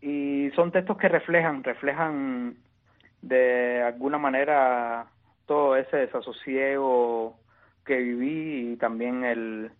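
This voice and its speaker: A man with a Cuban accent, an exiled poet answering interview questions, speaking haltingly with many restarts and repeated words. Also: mid-range voice, steady tone. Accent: Cuban Spanish. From Cuba. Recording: tinny phone-line audio.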